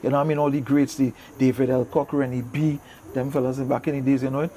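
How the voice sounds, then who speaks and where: A man's voice: medium pitch, little pitch movement, fast, warm tone. A middle-aged man with a Trinidadian accent reminiscing casually as an interview guest, in loose, informal phrasing full of affirmations. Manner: informal, peppered with "yeah man" and "you know".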